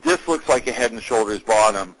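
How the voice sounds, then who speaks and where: A man with a fairly low voice hosts an analyst's briefing. He explains things in a measured, businesslike way, somewhere between prepared remarks and off-the-cuff commentary. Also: expressive, rough recording. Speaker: market analyst hosting a conference call. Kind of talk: market commentary, semi-scripted briefing.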